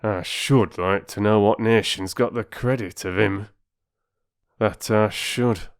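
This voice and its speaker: A doubtful, curious station master from a storybook, speaking in a low, folksy way, as a male reader voices him. The recording is dry and close. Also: fairly even intonation, takes it slowly, clear, resonant tone, no echo.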